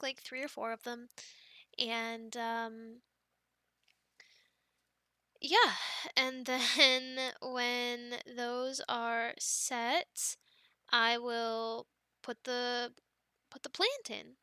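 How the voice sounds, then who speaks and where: A young woman in an ASMR girlfriend roleplay, chatting softly and wandering from thought to thought with little laughs. Her voice is bright and a touch high. It is recorded close and dry. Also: drawn-out words, pauses often, clean tone, no room sound. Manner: soft, chatty and rambling, with many ums and light laughs.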